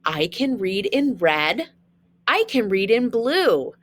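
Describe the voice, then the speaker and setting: A woman's voice: medium pitch, big pitch swings, slow pace, fairly bright tone, a little hiss or hum. A woman teacher reading a children's storybook aloud, expressively, with chatty asides and questions to young listeners.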